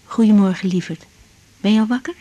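Mellow young woman, a weary doctor in radio drama. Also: mid-range voice, brisk, lightly acted. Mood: tired, tender. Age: young adult. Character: overwhelmed young woman doctor on night shifts. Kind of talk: naturalistic radio drama, diary-like monologue and dialogue.

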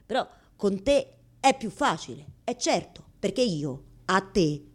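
An actress playing an anguished, embarrassed woman who confronts God in a theatrical radio monologue, halting and repeating her words insistently, blunt and exasperated. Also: medium pitch, sing-song. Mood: resigned, hushed.

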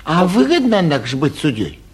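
A man playing a foppish, carefree young gentleman from the capital in a classic Russian stage comedy for radio, chatty and airy with a self-satisfied manner. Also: fairly high pitch, huge pitch swings, fast talker, exaggerated voice. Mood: casual, probing. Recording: muffled, husky 1949 radio theatre recording.

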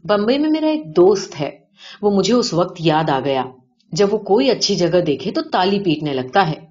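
A woman's voice: medium pitch, sing-song, darkish tone, natural voice. A woman reading the part of a reserved, wry male visitor in a story, with brief understated replies. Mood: polite, evasive. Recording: dull lo-fi audio.

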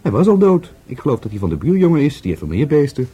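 A man's voice: fairly high pitch, very animated, quick pace, mellow, husky, muffled recording, heavily acted. An actor playing a cheeky, wary man under police questioning in a radio drama, sardonic and defiantly unrepentant. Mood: sullen explaining. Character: cheeky, wary male murder-case witness, defiantly unrepentant.

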